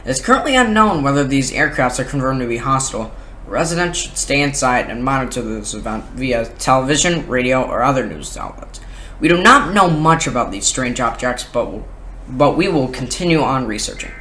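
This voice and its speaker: In a roomy, echoing sound with faint noise behind it, a man with a bright, forceful voice reads a special news bulletin. His pitch is mid-range and his delivery is that of a scripted announcer. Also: expressive, fairly quick. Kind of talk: scripted breaking news report.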